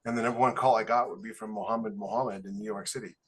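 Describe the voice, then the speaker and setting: A man's voice: on the low side, steady tone, rapid-fire, breathy edge. A man in a casual panel discussion, talking as a podcast co-host.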